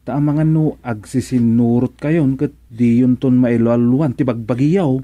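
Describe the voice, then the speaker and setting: A man's voice: medium pitch, steady tone, moderate pace, mellow, no room sound, close to an everyday voice. An actor playing a flustered comic village errand man in an Ilocano radio comedy drama. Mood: earnest.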